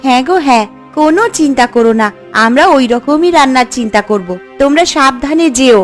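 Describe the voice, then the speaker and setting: A woman's voice: sits high, fairly quick, natural voice. A woman voicing a poor village housewife in a cartoon, with music behind her. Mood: reassuring. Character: poor, worried village housewife who cooks. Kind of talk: cartoon voice acting.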